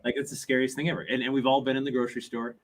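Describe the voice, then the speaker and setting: A man's voice: medium pitch, level tone, very fast. A man in his forties hosting a live podcast, chatty and joking. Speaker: podcast host. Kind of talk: casual live podcast chat. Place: live event stage.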